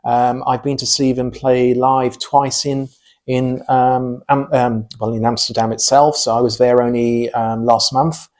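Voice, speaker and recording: A middle-aged man with an English accent talks casually as an interview guest. His pitch is middling for a man, and he speaks in a measured way, pausing often as he puts his thoughts together. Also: flat delivery, darkish tone. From England, born in Sheffield and later Kent. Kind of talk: casual personal conversation.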